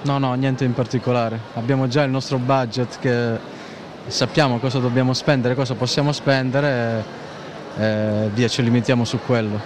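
Casual man over clear mall noise. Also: medium pitch, little pitch movement, moderate pace. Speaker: shopper being interviewed. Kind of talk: casual interview answers. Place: indoor shopping mall. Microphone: interviewer's handheld microphone. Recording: noisy shopping mall ambience.

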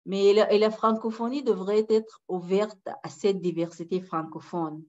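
A woman's voice: medium pitch, fairly even intonation, moderate pace, mellow, lo-fi sound. A middle-aged woman from Sudan speaking as a guest on a video call, emphatic and repeating her key phrases. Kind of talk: conference talk. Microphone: webcam on a video call.

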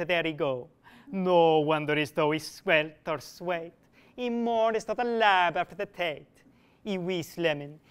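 A man reading aloud, voicing a lovesick, fawning young parish clerk with slow, drawn-out sweet talk. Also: sits high, a bit bright, clean tone.

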